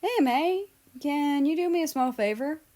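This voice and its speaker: A woman's voice: high-pitched, animated, measured pace, darkish tone, voice pushed up. A woman voicing a cheeky, friendly younger brother with a casual, teasing way in a story reading. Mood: pleading, tired.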